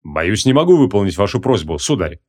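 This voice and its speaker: A man voicing a courteous, solemn, resolute paladin in a formal, measured way for an audiobook. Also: lowish voice, sing-song, a little mellow, voice pushed down. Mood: calm, firm. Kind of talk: audiobook character acting.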